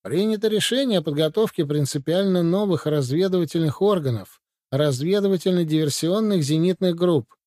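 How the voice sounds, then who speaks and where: A brisk, commanding Soviet officer, read by a man in a matter-of-fact way. The tone sits a touch high yet mellow, and the recording is dry and close. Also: no echo, lightly acted. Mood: briefing. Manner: matter-of-fact briefing delivery. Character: male Soviet military adviser, brisk and commanding. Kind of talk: audiobook reading.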